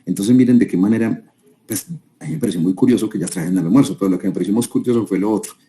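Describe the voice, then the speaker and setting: A man's voice: fairly low pitch, very fast, mellow. A man from Colombia with a Colombian accent, giving a conversational talk to a live audience and asking them rhetorical questions. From Colombia, Quindío. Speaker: speaker at a business seminar.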